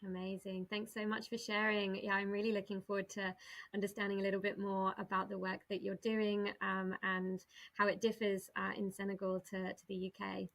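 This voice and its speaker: A woman's voice: mid-range voice, monotone, brisk, a bit bright. A woman moderating a panel, thanking each speaker with upbeat, encouraging words and handing over briefly to the next one.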